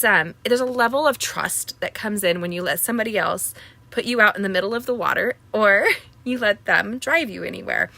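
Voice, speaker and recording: Woman, middle-aged, native Spanish speaker, live craft host, chatty, dry close sound, bright tone. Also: medium pitch, vocal fry, dry recording. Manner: chatty, greets viewers by name, frequent filler words. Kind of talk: casual live-stream chat with viewers.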